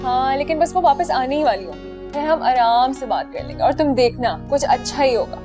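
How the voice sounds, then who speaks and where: An actress playing a young woman's practical, soothing friend who gently teases her, over background music. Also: sits high, expressive, fairly quick. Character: caring, teasing young female friend.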